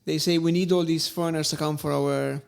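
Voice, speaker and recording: A man's voice: on the high side, fairly even intonation, a little fast, vocal fry, a little mellow. A man, talking casually and emphatically as a podcast guest, repeating phrases for stress. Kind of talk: casual conversational storytelling.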